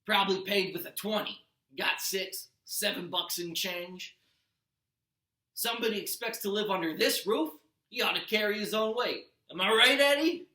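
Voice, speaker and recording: A man voicing a loutish, bullying middle-aged stepfather in a storybook, gruff, loud and demanding. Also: high-pitched, normal speed, pitched above the performer's own voice. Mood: sneering, aggressive. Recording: echoey room.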